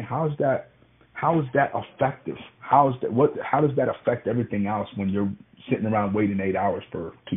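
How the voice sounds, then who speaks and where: Male phone guest, medium pitch, agreeable and talkative, casual delivery with repetitions, narrow tinny call audio. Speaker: freight broker, former truck driver.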